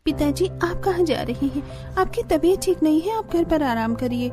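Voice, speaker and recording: Woman as high-voiced caring young daughter-in-law. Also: expressive, moderate pace, over music. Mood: concerned. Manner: earnest, concerned. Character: caring, anxious young daughters-in-law in a village family cartoon.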